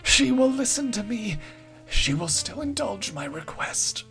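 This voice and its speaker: A man's voice: sits high, very animated, a little slow, creaky, fairly bright tone, faint music behind, voice pushed up, heavily acted. A man voicing a tormented old homeless woman, strained and erratic, swinging between pleading and shrieking.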